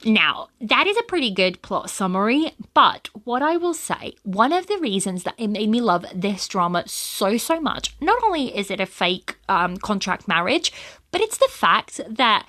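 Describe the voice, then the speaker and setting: A woman's voice: mid-range voice, sing-song, normal speed, bright, forceful tone. A woman hosting a podcast in a chatty, rambling way, her first language Portuguese, a bit sniffly from a cold. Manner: chatty and rambling, frequent fillers. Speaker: podcast host. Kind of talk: casual solo podcast chat.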